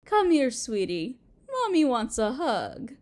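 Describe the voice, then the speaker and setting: A woman playing a doting, possessive Mother Earth goddess in a roleplay audio drama, sweet and cooing as if to a small child, with gentle menace. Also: high-pitched, huge pitch swings, takes it slowly, exaggerated voice. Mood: delighted doting.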